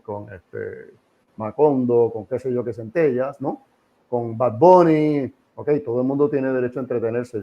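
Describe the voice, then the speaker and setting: Muffled lo-fi audio, puerto rican guy middle aged or older, mid pitch, opinionated interview talk, preachy and emphatic, repeats himself. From Puerto Rico. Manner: emphatic, didactic, repeats phrases and asks rhetorical questions. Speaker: interview guest, association president. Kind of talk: opinionated informal interview on history.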